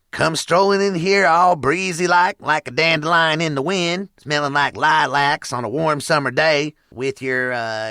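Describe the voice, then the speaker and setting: A resentful country bully with a thick Southern drawl. His voice is high and piercingly bright, taunting and hostile, recorded dry for a comic western audio play. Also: no room sound. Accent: American Southern, hillbilly cowboy style.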